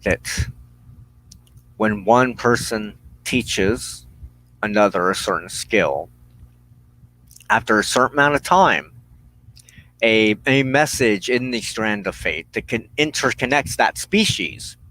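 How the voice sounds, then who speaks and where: A man hosting a spiritual broadcast, giving a reflective, unscripted monologue in an earnest, halting way with emphatic phrasing and pauses. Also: lowish voice, lively intonation, bright, forceful tone, poor audio. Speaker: host of a weekly spiritual broadcast.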